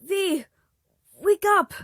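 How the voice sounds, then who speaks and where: A woman voicing a distraught, stubborn boy in a story, pleading and yelling. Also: very high-pitched, extremely slow, dry recording, clean studio sound, voice pushed up, heavily acted. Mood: tearful panic.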